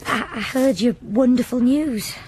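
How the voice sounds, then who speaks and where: An actress playing a gentle young servant woman, hesitant, restrained and quietly hurt, in a radio period drama. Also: a little high, a little slow, a little hiss or hum, close to an everyday voice. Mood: hesitant, wistful.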